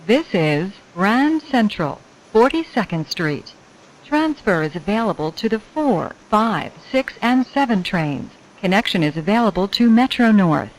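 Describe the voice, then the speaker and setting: A woman gives formal, scripted train announcements in clear phrases. Her mid-range voice rises and falls in a lively way, with faint noise behind it. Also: mid-range voice, measured pace, poor audio. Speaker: transit announcer.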